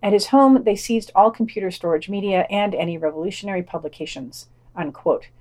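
A woman's voice: medium pitch, a little fast, darkish tone. A woman hosting a podcast, reading scripted news items and adding dry, wry asides.

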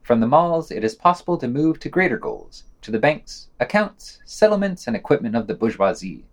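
A man's voice: mid-range voice, wildly swooping pitch, fairly quick. A man reading scripted news items for a podcast, with sardonic, wry asides.

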